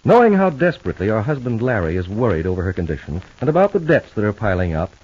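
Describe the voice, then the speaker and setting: A man narrating a 1950s radio soap opera in a dramatic, deliberate announcer's style. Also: lowish voice, sing-song, darkish tone, husky. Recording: dull, band-limited old radio recording.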